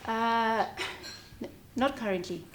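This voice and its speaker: A woman's voice: on the high side, takes it slowly. A woman with a South African accent, an air traffic controller giving informal explanations in an interview.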